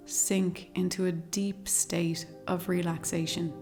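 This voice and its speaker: Calm woman guiding a meditation over music. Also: lowish voice. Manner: slow, calm, measured with long pauses. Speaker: psychologist leading a guided meditation. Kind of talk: guided meditation, soothing scripted narration. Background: meditation music with singing bowls.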